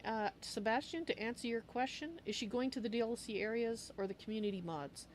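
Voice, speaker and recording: A woman's voice: on the high side, brisk, a little hiss or hum. A woman livestreaming a roleplay game, chatting casually to her viewers. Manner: speaks of her character in the third person.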